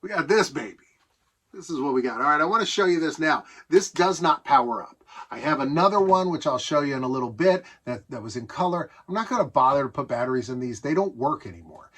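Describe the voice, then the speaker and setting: Higher-voiced middle-aged American man chatting casually. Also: lively intonation, quick pace, a bit bright, breathy edge. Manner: enthusiastic, conversational, self-amused asides. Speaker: host showing a vintage electronics collection. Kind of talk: casual nostalgic show-and-tell monologue.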